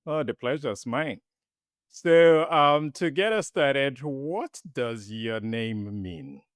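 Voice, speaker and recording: A man hosting a podcast interview, introducing his guest and asking measured, polite questions. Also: mid-range voice, lively intonation, clean tone, dry recording, clean studio sound. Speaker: podcast host.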